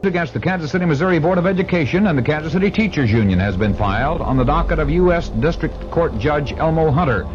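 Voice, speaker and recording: A man reads a radio news bulletin in a brisk, even manner. His voice sits at a middle pitch. The old broadcast sounds dull, with noise underneath. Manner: brisk, even newsreader delivery.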